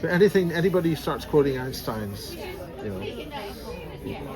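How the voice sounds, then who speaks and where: A man's voice: fairly high pitch, sing-song, measured pace. A man with a Belfast accent, talking in an emphatic, rambling way in a casual conversation outdoors. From Northern Ireland. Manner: rambling, emphatic, jokey teasing. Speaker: speaker on secret space programs. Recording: loud crowd noise behind.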